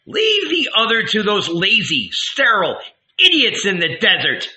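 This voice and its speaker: A man doing a shrill toothless old village woman. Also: sing-song, takes it slowly, creaky, sharp tone, exaggerated voice. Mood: furious ranting. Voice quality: shrill, screeching. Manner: swings from motherly coaxing to furious ranting.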